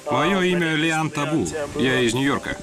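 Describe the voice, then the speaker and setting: A man reading a Russian voice-over translation of people introducing themselves, in a plain, steady way. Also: on the high side, normal speed. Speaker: voice-over interpreter. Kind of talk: dubbed self-introductions, read evenly. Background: background music.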